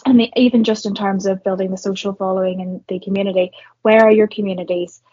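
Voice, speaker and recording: A husky young woman with a Northern Irish accent talks things through in a thoughtful, conversational way. Her voice sits dry and close on a somewhat dull, lo-fi recording. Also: medium pitch, fairly even intonation, fast talker, mellow, no echo. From Northern Ireland. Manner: reflective, many fillers and restarts. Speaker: guest, marketer and entrepreneur. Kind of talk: conversational podcast interview.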